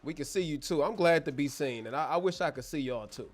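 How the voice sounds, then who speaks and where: A man's voice: a little high, animated, a little fast, soft background music. A man hosting a casual live Q&A stream from his bedroom studio, talking straight to his chat in a slangy, joking way, on a clip-on mic. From born in Germany, American. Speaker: livestream host. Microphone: wireless clip-on mic.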